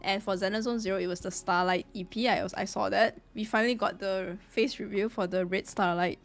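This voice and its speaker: A woman's voice: mid-range voice, fairly even intonation, fairly quick. A woman live-streamer chatting casually with her viewers, greeting them in a chatty way. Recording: music playing behind the voice. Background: background music.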